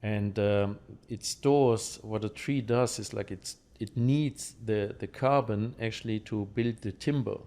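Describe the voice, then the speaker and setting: Podcast guest: German-accented man, low relaxed voice, halting conversational explainer who checks that the listener follows.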